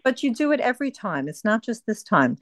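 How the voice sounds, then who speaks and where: A woman hosting an informal book group discussion, speaking haltingly and often repeating her phrases. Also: on the high side, big pitch swings.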